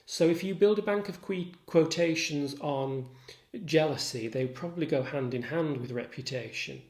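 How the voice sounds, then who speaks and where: A man lecturing as a literature tutor, measured, articulate and instructive in his delivery. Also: a little high, darkish tone. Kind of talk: literature lecture with recited quotations. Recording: roomy echo.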